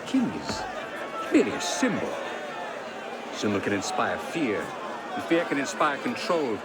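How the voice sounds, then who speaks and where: A man playing a calculating villain in a video game, measured and grandiose, like a philosophizing mastermind. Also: mid-range voice, huge pitch swings. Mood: smug, calm. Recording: loud crowd and outdoor noise behind.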